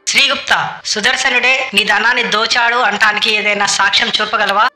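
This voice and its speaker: A woman playing a stately king in an animated moral story, formal and commanding, with echo on the recording. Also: mid-range voice, normal speed. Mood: questioning. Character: stately king judging a dispute. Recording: echoey voice over music.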